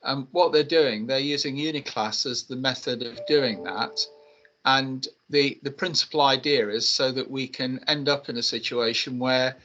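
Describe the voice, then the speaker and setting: A man giving an update in an online meeting, explaining in a measured, hesitant way with many fillers. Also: mid-range voice, a bit bright, lo-fi sound.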